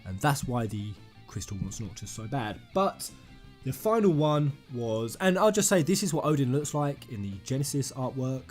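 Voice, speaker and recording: A man hosting a fan theory video, rambling and emphatic, addressing his viewers directly in an excited commentary style. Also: medium pitch, moderate pace. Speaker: gaming YouTuber, host. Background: music under the voice.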